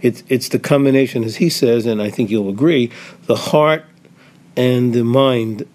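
A man's voice: medium pitch, normal speed, darkish tone. A man hosting an interview, steering it with short acknowledgements and clarifying questions. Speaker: radio host.

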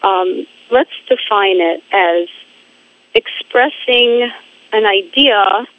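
A woman speaking as a guest in a phone interview, halting and repeating words while she searches for her phrasing. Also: medium pitch. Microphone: phone line. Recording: tinny phone line.

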